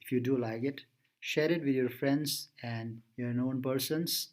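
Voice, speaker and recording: Slow-paced man walking through a tutorial. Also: medium pitch, steady tone. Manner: thinks aloud step by step. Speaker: app tutorial presenter. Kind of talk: informal screen-recorded software tutorial.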